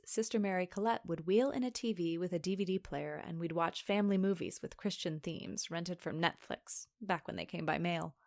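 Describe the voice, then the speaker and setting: A woman's voice: on the low side, natural voice. An actress playing a sardonic, anxious young woman who narrates a confessional podcast drama in a conversational, sweary way. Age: young adult. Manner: wry, sarcastic, sweary. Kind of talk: confessional scripted monologue, conversational.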